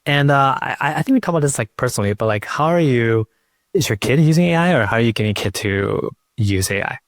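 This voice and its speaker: A man hosting a casual interview, speaking informally and haltingly, often repeating words as he goes. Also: mid-range voice, lively intonation, no room sound, clean studio sound. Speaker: podcast host interviewing a guest.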